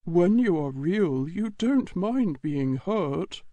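A woman voicing a kindly, truthful old male toy horse in a storybook, explaining gently and patiently. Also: on the low side, animated, takes it slowly, no room sound, voice pushed down. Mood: gentle, wise. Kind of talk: storybook audiobook character reading.

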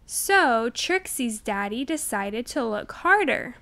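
Very slow, high-voiced woman reading aloud. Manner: deliberate, expressive enunciation. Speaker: storybook reader. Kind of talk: children's read-aloud narration.

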